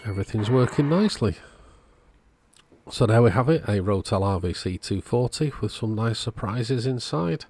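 A man's voice: on the low side, animated, normal speed, a little mellow, a little hiss or hum. A man narrating a repair job in a casual, matter-of-fact, understated way. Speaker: host of electronics repair channel.

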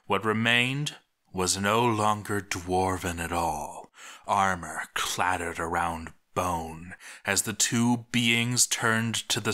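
A man reads a story as a dramatic narrator. His voice is fairly low with a forceful, bright edge. He takes his time, very measured and theatrical, lingering on phrases.

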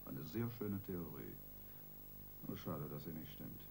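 A man's voice: extremely low pitch, animated, measured pace, creaky, mellow, slight background noise, heavily acted, rough recording. A man playing a domineering senior police detective in a radio crime drama, mocking, condescending and emphatic. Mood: sarcastic, dismissive.

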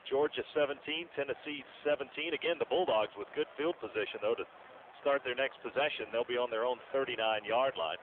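A man's voice: medium pitch, fairly quick. A man giving live American football commentary on the radio. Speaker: sports announcer. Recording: tinny, noisy radio broadcast.

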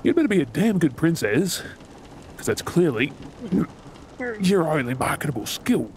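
A man playing a gruff, wounded, bitter yet tender pirate captain in a role-play drama, sardonic and brooding with dramatic pauses. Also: a little high, very animated, darkish tone, slight background noise. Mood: irritated, sardonic. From Australia.

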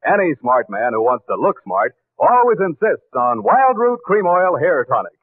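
A male radio announcer with a fairly high voice and a swooping, animated delivery. He reads a scripted 1940s sponsor message on a tinny old broadcast. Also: moderate pace, no room sound.

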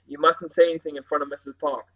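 A man reads the part of an eager little boy in a storybook. He is suspicious and a bit self-important, urgent and insistent, in a slightly high male register. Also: flat delivery, fast talker, fairly bright tone, natural voice, rough recording. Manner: urgent questions and insistent claims.

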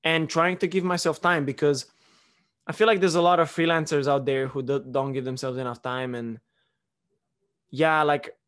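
A man's voice: a little high, fairly even intonation, moderate pace, fairly bright tone. A young man from Romania, a podcast host chatting casually at home into a close studio mic, rambling with restarts and fillers. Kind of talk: casual chat. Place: living room at home. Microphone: studio mic on boom arm, close.

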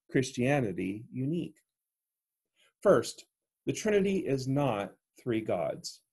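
Man preaching a homily from home. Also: husky. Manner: earnest, explanatory, lively pitch swings. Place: home room, indoors. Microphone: webcam at desk.

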